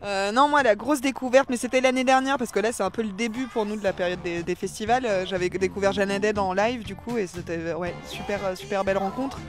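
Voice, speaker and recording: A man, a band's songwriter, talking casually and haltingly in a festival interview, full of fillers and restarts. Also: sits high, a bit bright. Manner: hesitant, many fillers and restarts. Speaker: band songwriter. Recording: music playing behind.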